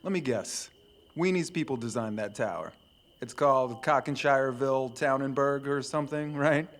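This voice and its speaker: A man's voice: mid-range voice, moderate pace, natural voice. A man playing a cocky teenage boy who cracks sarcastic jokes. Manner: joking, sarcastic.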